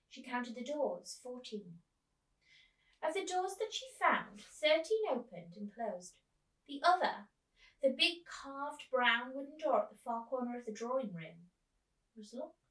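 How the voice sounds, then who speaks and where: A woman reading a children's story aloud as a teacher, with expressive narration. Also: fairly high pitch. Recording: roomy echo, distant microphone.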